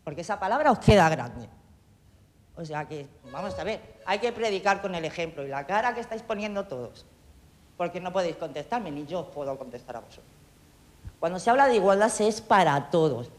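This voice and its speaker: A woman's voice: fairly low pitch, lively intonation, a little fast, big-room sound. A woman from Catalonia, a town councillor, giving a heated, sarcastic speech full of rhetorical questions in a council debate. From Catalonia, Spain.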